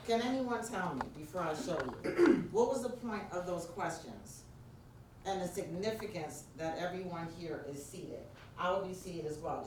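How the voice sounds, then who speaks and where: Deep-voiced New York woman leading a workshop. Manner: engaging, prompts the audience directly. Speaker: workshop presenter. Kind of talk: interactive workshop talk. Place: church meeting room. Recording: very echoey room, distant mic, faint noise.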